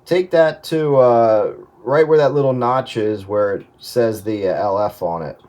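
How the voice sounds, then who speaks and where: A man talks through audio gear in a casual radio chat. He sounds like a hobby operator giving advice, hesitant and working it out aloud as he goes, with an ordinary mid-pitched voice. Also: measured pace, a little mellow, slight background noise. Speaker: amateur radio operator advising on audio setup.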